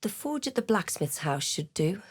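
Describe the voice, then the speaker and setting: A woman playing a snarky, brave adventurer spellcaster in a fantasy video game, speaking in a theatrical, wry and deliberate way. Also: mid-range voice, big pitch swings, husky, no echo, clean studio sound. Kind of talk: video game voice lines, dramatic narration and spell chants.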